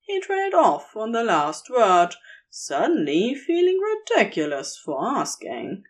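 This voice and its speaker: A woman's voice: on the high side, huge pitch swings, measured pace. A woman narrating romantic fiction from a script as a storyteller.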